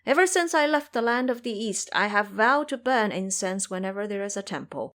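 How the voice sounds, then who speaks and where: A woman's voice: mid-range voice, lively intonation, a little fast, a bit bright, lightly acted. A woman voicing a pious, naive elder monk in an audio drama, musing aloud earnestly. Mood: lamenting.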